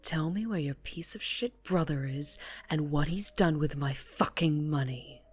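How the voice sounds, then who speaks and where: Woman acting a slow, low, menacing mafia boss. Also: faint music behind. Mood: seductive menace. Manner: teasing, menacing, often dropping into breathy whispers. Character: sadistic, seductive female mafia boss interrogating a captive. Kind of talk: ASMR roleplay acting.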